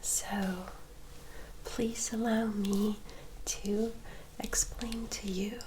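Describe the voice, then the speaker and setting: A woman playing a gentle, courteous female vampire in a whispered ASMR roleplay, soft, formal and reassuring. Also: mid-range voice, flat delivery, drawn-out words, a little hiss or hum, rough recording. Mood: courteous, instructive.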